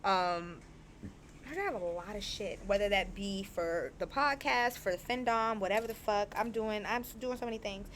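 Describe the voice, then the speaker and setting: A young woman in her twenties hosting a podcast, talking casually and frankly, her voice a little raspy as it recovers. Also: mid-range voice, moderate pace, a little hiss or hum. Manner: chatty, frank, animated storytelling. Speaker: podcast host. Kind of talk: casual candid monologue.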